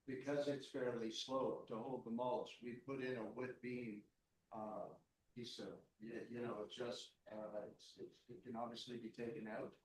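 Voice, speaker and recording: A man speaking as an applicant who describes his own voice as loud, explaining slowly at a council meeting. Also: medium pitch, a little hiss or hum. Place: council chamber. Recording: roomy, from far off the mic.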